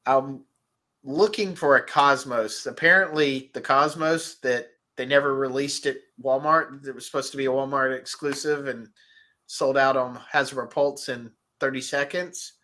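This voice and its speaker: A man hosting a relaxed stream, chatting and reading viewer comments, his voice nasal and stuffed up. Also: medium pitch, measured pace. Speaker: host. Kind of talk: casual livestream chat about toys.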